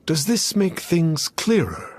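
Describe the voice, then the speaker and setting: A man's voice: a little high, sing-song, takes it slowly, darkish tone, breathy edge, no room sound, heavily acted. A man voicing a theatrical, pompous self-styled sage in a video game, speaking in lofty archaic phrases. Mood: lofty, assured.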